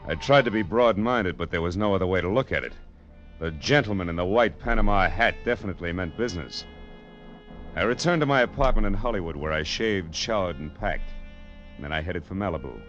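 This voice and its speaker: A man's voice: low voice, animated, brisk, fairly bright tone, faint music behind. An actor playing a dry, wisecracking hard-boiled male detective in a vintage radio drama. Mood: wry narrating. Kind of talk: 1940s radio detective narration and acting. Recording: dull old radio recording.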